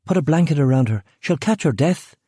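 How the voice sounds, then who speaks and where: A man's voice: on the high side, expressive, brisk, mellow, breathy edge, dry recording, clean studio sound, pitched above the performer's own voice. A man reading a story, voicing a nervous young mother who keeps up a forced politeness. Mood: strained cheerful.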